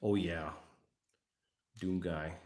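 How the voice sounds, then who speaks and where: A man's voice: sits low, fairly even intonation, extremely slow, darkish tone, echoey room. A Hungarian man rambling and joking with his live chat while he assembles a miniature. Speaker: livestream hobby host. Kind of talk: casual livestream chat while building a model.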